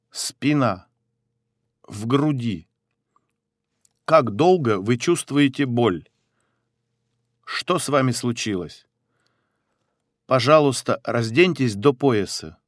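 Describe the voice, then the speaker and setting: A man reads short phrases for a language course at a slow, deliberate pace, leaving a pause after each one. His voice sits at an ordinary male pitch and sounds neutral and measured. The recording is close and dry, with no room sound. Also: no echo. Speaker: phrasebook reader. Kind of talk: slow, clearly spaced phrasebook reading.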